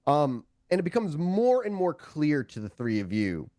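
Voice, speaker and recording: A man's voice: a little high, normal speed, no room sound, studio quality. A man narrating a tabletop game as game master, improvising with plenty of profanity. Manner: profane, animated storytelling.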